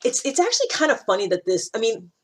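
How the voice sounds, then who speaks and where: A woman's voice: a little high, wildly swooping pitch, fast talker. A woman talking casually and reflectively to her listeners, thinking aloud in an informal, searching way with emphatic points. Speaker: host of a personal-growth series.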